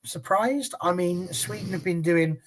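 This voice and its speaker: A husky-voiced English man hosting a football panel show. He keeps the banter light and jokey, often poking fun at himself. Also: sits high, a little slow, low-quality recording. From England. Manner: jokey, self-deprecating, lively banter. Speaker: panel host. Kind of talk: casual panel chat.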